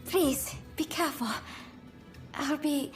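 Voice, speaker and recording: An actress playing a gentle, polite, worried woman in a video game drama. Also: high-pitched, takes it slowly. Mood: anxious, pleading. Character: gentle, worried, soft-spoken Japanese woman, anxious for her brother. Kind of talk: video game cutscene acting. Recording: music under the voice.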